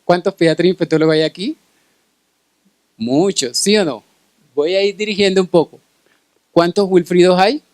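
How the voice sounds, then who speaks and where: Male medical lecturer, middle-aged, Colombian Caribbean accent, fairly high pitch, dry sound, keeps checking listeners follow. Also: normal speed, pauses often, darkish tone, no room sound. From Cartagena, Colombia. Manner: checks in with listeners through frequent short tag questions. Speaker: pediatric infectious disease specialist giving a lecture.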